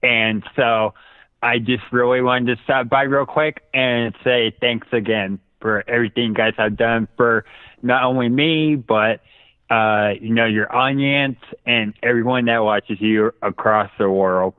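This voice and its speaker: A man's voice: medium pitch, steady tone, a little slow, clean tone, dry recording. A man chatting casually as a regular caller phoning in to a talk show. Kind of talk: casual phone call-in chat. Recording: dry, narrow phone line.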